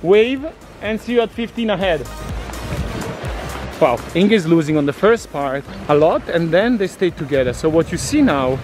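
A man's voice: fairly high pitch, animated, moderate pace. A middle-aged man, coaching swimmers outdoors with teasing, upbeat commentary. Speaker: swim coach. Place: outdoor training area by a pool. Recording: outdoor with music behind.

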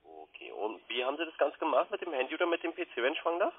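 A man's voice: a little high, very animated, rapid-fire, slightly rough. A man working as a call centre sales agent, giving polite, eager patter and asking question after question. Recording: muffled, band-limited phone line.

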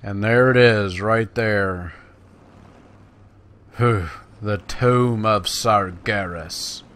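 A man's voice: fairly low pitch, very slow, a bit bright. A man giving casual gameplay commentary in a self-deprecating, wry manner. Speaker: let's play host. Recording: clearly noisy, game sounds behind voice. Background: video game battle sounds.

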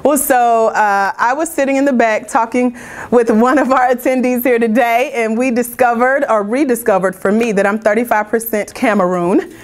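A woman's voice: on the high side, fairly even intonation, moderate pace. A middle-aged woman from Georgia, giving an upbeat, interactive motivational talk in an indoor room. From Georgia, United States. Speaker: motivational speaker, business coach. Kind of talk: informal motivational talk to a small audience.

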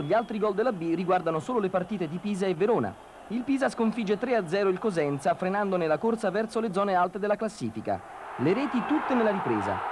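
A man narrating a scripted round-up of football results as a sports reporter. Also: high-pitched. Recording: TV broadcast with faint outdoor noise.

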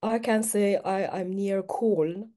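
A woman's voice: medium pitch, slow pace, warm tone. A young woman from Iran, a native Persian speaker, talking on a video call in a hesitant, reflective way with many fillers. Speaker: participant. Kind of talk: casual chat. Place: home room, indoors.

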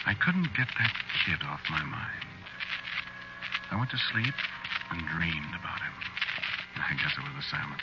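A man's voice: very deep voice, sing-song, moderate pace, long pauses. A man playing a meek, worried working husband, narrating and acting in a 1940s radio drama in a hesitant, mild, conversational way. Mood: wistful, confiding. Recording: very noisy, muffled 1940s radio recording.

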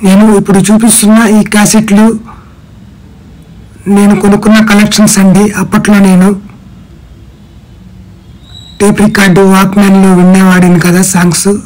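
A man's voice: sits high, completely flat delivery, fairly quick, a little mellow, low-quality recording. A middle-aged man narrating an informal vlog, explaining things phrase by phrase. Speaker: vlogger.

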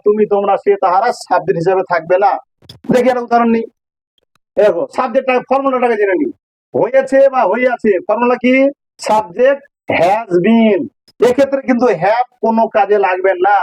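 A man's voice: sits high, normal speed, a little mellow, poor audio. A man with a Bengali accent teaching a grammar lesson informally, explaining step by step and repeating phrases for emphasis.

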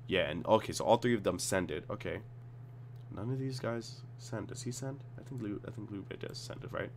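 A man with a cold and a slightly raspy voice, casually reading card text aloud and reacting as he reviews cards at his computer. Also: lowish voice, brisk, a little hiss or hum. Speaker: card game tutorial host.

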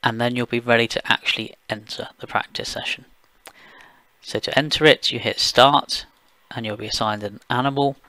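Gravelly young man explaining software steps. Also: medium pitch, steady tone, moderate pace, dry recording. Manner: plain step-by-step explaining with fillers. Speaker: software engineer giving a tutorial. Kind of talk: screencast walkthrough voice-over.

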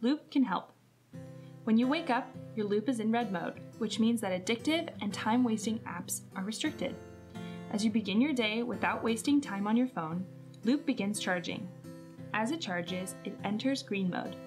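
A woman's voice: fairly high pitch, animated, normal speed. A woman reading scripted narration for a product promo video. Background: guitar music.

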